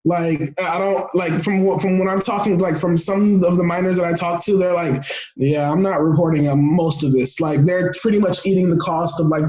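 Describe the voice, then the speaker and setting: A young American man talking casually as an interview guest, excitable and rambling, often restarting his phrases. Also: on the high side, rough recording. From Florida, United States. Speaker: podcast guest.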